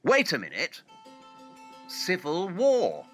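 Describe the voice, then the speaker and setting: A man's voice: high-pitched, wildly swooping pitch, slow pace, fairly bright tone, soft background music, full character voice. A man playing a shrewd, precise, self-assured Victorian detective in an audio drama. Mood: dawning realisation.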